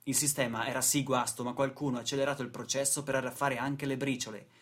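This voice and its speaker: A man's voice: mid-range voice, level tone, quick pace, a bit bright. A man delivering a scripted radio theatre monologue in a solemn, rhetorical, declamatory manner. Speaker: stage actor and narrator. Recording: music playing behind the voice. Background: music.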